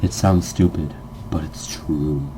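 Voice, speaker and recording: A man's voice: very deep voice, fairly even intonation, slow, dark tone, slight background noise. A man casually reading a visual novel script aloud as narration, stumbling now and then and correcting himself. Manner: stumbles over words, corrects himself, jokey asides.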